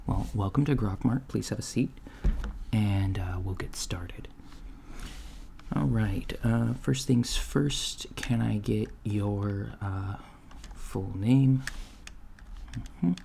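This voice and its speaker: Guy doing an awkward alien job interviewer for asmr, slow n halting, mellow soft voice, noisy lofi recording w typing. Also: lowish voice, gravelly. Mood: polite, hesitant. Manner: hesitant, halting, mumbling asides. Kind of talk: soft-spoken ASMR roleplay. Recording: lo-fi, steady noise, keyboard typing. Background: computer keyboard typing.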